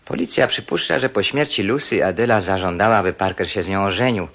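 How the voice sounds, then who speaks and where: Husky, low-voiced smug scheming husband. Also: male voice, expressive, fast talker, a bit bright, full character voice. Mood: smug, feigned grief. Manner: smug, suave, coolly condescending. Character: cold, self-pitying scheming murderer, clinic-owning husband. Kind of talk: radio drama acting. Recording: dull, muffled radio play recording.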